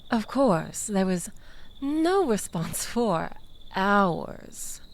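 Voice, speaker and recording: Slow, coy, manipulative ex-girlfriend, acted by a woman. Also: medium pitch, big pitch swings, exaggerated voice. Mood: coaxing, wistful. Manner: coy, teasing, mock-wounded, drawn-out with breathy dips. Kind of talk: intimate audio roleplay acting addressed to listener.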